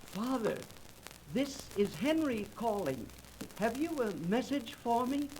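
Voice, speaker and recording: A gullible, eager businessman in 1930s radio style. His high voice swoops in pitch and stumbles with excitable fluster. The old recording has some noise. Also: unhurried. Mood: reverent. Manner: excitable, stammering. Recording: noisy 1930s radio transcription disc.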